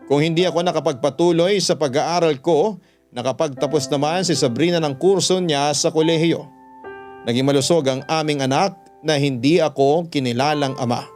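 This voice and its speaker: A man's voice: on the high side, natural voice. A male actor with a Filipino accent playing a regretful young father, earnestly narrating his confession in a radio drama. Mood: wistful, jealous. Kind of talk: dramatized first-person radio letter narration.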